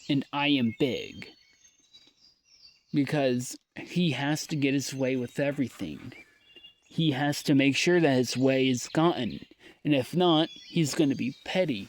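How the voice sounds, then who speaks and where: Weary young man, slow halting diary talk. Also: medium pitch, a little hiss or hum. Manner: weary, halting, trailing off with sighs. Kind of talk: personal audio diary monologue.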